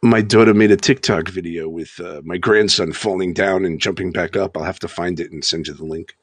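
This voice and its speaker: An older man with a naturally loud voice, chatting casually from his home bedroom and rambling with many fillers. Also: deep voice, fairly even intonation, fairly quick, dry recording. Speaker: guest and chat moderator. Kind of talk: casual chat.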